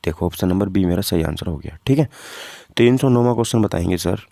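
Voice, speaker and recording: A man's voice: low voice, expressive, fast talker, gravelly, dark tone, no echo. A man teaching informally, working through practice questions step by step and often asking questions that he answers himself. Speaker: physics teacher for exam preparation.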